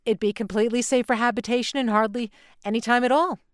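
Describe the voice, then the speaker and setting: Woman acting alien real estate agent, audio drama, smooth crisp voice, salesy, unruffled, dry studio sound. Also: a little high, steady tone, fast, no room sound, lightly acted. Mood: smooth sales pitch. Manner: salesy, unflappable delivery.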